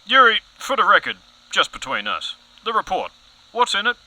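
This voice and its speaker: A man's voice: high voice, wildly swooping pitch, normal speed, bright, forceful tone, heavily acted, low-quality recording. A man playing a pompous, overzealous TV news anchor in an audio comedy, earnest and dramatic. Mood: dramatic, grave.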